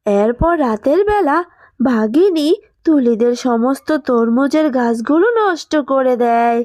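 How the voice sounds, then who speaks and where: A woman narrates a children's cartoon fairy tale from a script. Her voice is high. The recording is close and dry. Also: lively intonation, unhurried, a little mellow, dry recording.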